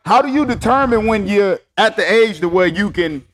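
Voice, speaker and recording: A man hosting a fitness podcast, talking in an energetic, slangy, casual way with his co-host. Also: high voice, normal speed, slight background noise. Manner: energetic, hype, slangy banter. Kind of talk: casual chat.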